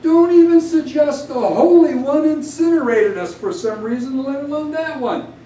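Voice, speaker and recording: A man's voice: extremely high pitch, normal speed, warm tone. A man voicing an indignant, grieving, combative biblical prophet in a declamatory, accusing epic poetry recitation. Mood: indignant, aggrieved. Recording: distant roomy live recording, faint noise.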